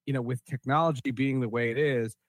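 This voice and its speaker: A man hosts a casual podcast discussion in a mid-pitched voice. He speaks in a halting, conversational way, doubling back on words, and the sound is dry and close. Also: steady tone, no room sound. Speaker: podcast host.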